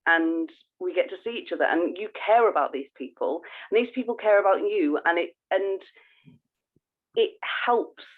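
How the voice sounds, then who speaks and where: A woman co-hosting a podcast, chatting informally and explaining things with halting emphasis. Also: fairly low pitch, expressive. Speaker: podcast co-host. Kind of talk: casual podcast chat. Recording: thin phone-like call audio.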